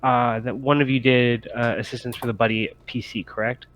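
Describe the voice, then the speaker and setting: A man's voice: medium pitch, flat delivery, fairly quick, fairly bright tone. A man talking casually as game master of a tabletop game, in a hesitant, conversational way.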